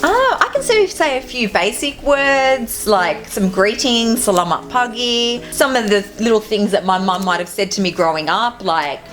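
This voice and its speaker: A woman teacher with a slightly high voice answers interview questions in a relaxed, conversational way. She slows down to coach pronunciation patiently. Music runs clearly underneath the recording. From Australia. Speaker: teacher and anti-racism contact officer. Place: school.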